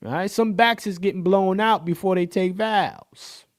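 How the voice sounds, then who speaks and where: Man, podcast host, playful reaction talk full of slang and laughs, slightly high voice, unhurried delivery. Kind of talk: casual reaction commentary.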